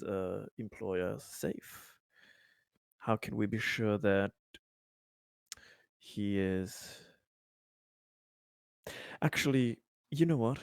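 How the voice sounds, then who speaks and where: Slow, low-voiced man playing a tabletop game. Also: a little mellow, dry recording, studio quality. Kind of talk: casual, improvised roleplay and game talk.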